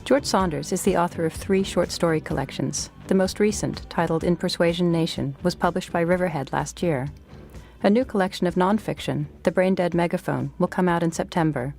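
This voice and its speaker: Low, slightly creaky woman's voice. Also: fairly quick, darkish tone, soft background music. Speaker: podcast host and fiction editor. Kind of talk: scripted introduction and literary interview.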